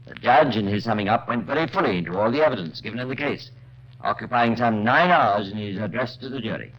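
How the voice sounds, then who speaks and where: A man narrating a 1950s radio crime drama in a deliberate, suspenseful scripted style. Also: on the low side, expressive. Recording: muffled old radio recording.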